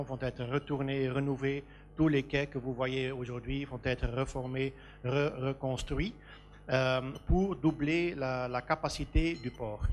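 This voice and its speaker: A man from Belgium speaking on a business panel about a port, hesitant with many fillers and restarts. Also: medium pitch. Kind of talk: panel discussion.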